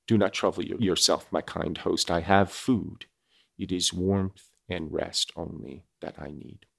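A man voicing an earnest, anguished outcast creature in a solemn, formal, measured storybook reading. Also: sits low, fairly even intonation, vocal fry, heavily acted. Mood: polite, humble.

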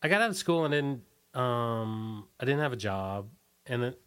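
A man with a smooth, clear voice at a middling pitch talks as an interview guest. He reminisces casually, halting often to search for words. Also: lively intonation, a bit bright.

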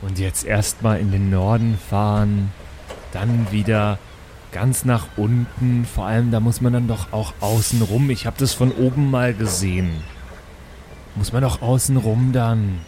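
Slow, reluctant man at a game table. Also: lowish voice, steady tone, dark tone, a little hiss or hum. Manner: reluctant, whiny, hesitant. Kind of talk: improvised in-character play.

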